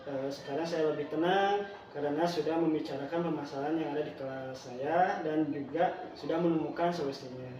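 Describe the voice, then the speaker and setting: Echoey, distant, higher-pitched hesitant man. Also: fairly quick, a crowd in the background. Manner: hesitant, polite, deferential. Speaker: teacher being coached. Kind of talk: role-play coaching conversation, answering questions. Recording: very echoey room, distant mic, noisy.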